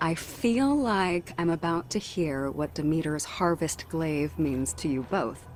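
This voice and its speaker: An actress playing a weary, dutiful Spartan priestess in a video game, speaking in a measured, reflective way. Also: female voice, low voice, lively intonation, a little mellow, a little hiss or hum. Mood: solemn teaching. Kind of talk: cinematic video game acting. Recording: music behind the voice.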